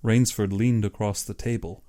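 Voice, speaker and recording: A man's voice: lowish voice, steady tone, normal speed, mellow. A man reading scripted story narration as an audiobook narrator.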